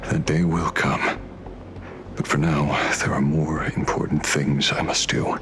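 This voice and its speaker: Broody guarded game guy, real low, terse and understated, unhurried. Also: level tone, noisy background. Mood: resolute, guarded. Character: wary, laconic, brooding man investigator. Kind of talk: video game character dialogue. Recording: loud music behind.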